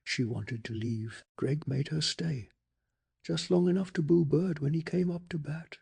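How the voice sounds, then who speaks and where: A man narrating a bedtime story in a soft-spoken ASMR style, with a hushed, measured, gently dramatic delivery and a breathy, gravelly voice. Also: fairly high pitch.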